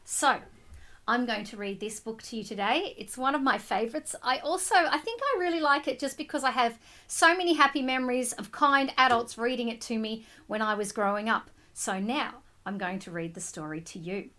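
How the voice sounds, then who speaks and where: A woman reading a picture book aloud to children in an expressive way, with simple explanatory asides addressed to them. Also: fairly high pitch, normal speed. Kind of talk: animated storybook read-aloud with asides to children.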